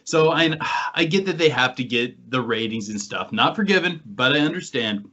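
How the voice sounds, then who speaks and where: A man's voice: medium pitch, animated, normal speed, pushed and bright. A man hosting a podcast and talking casually with the others. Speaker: podcast host and paranormal investigator. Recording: slightly muffled, lo-fi audio.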